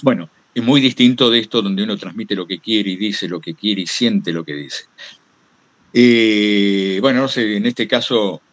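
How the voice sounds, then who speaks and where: A man's voice: fairly low pitch, unhurried, a bit bright, no echo. A man with an Argentine accent talking informally to a workshop group as a guest, anecdotal, hesitant and self-deprecating. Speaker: guest speaker, radio host.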